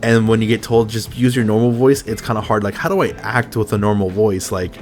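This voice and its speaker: Low-voiced laid-back man, laughs often. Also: fairly quick, darkish tone, soft background music. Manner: laughs often, swearing, loose rambling. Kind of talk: casual chat.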